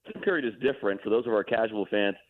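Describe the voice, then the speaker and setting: A man, a hockey broadcaster, giving relaxed, explanatory analysis as a radio guest. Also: on the low side, racing. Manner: relaxed, explanatory, self-deprecating. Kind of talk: casual radio interview analysis. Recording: tinny phone line.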